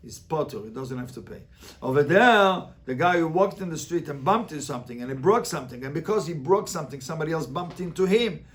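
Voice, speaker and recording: Higher-pitched man teaching a Talmud class. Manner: emphatic, repeats phrases for explanation. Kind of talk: interactive Talmud lesson with students.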